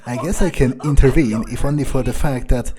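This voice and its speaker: A man's voice: mid-range voice, fairly quick, warm tone. A man giving wry, musing in-character commentary as he plays a roleplay game. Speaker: player of a roleplay game playthrough.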